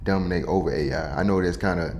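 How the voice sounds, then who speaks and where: Man, low voice, podcast host, casual interview chat, informal blunt phrasing. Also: fast, a little mellow.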